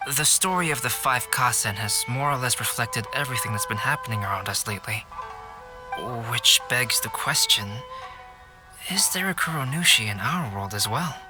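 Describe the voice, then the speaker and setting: A polite, inquisitive young gentleman from an anime game, acted by a man. He speaks at a medium pitch with deliberate, eloquent phrasing. Music is clearly audible behind him. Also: lively intonation, lightly acted. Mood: musing. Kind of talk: anime video game voice acting.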